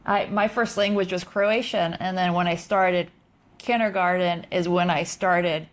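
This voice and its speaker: A woman's voice: on the low side, steady tone, unhurried. A woman guest chatting casually, lightly self-deprecating. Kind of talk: casual interview chat.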